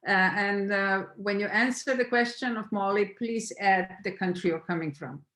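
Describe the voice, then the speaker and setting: A woman hosting an online discussion, speaking in a measured, slightly hesitant way. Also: medium pitch, fairly bright tone. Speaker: host and moderator. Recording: roomy, echoing online call.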